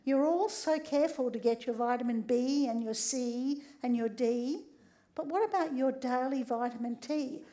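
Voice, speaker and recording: Older woman, slightly croaky, high voice, lively stage lecture with frequent audience check-ins. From Australia. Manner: conversational, often tags lines with "right?". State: a bit croaky. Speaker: speaker giving a public talk. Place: large theatre stage.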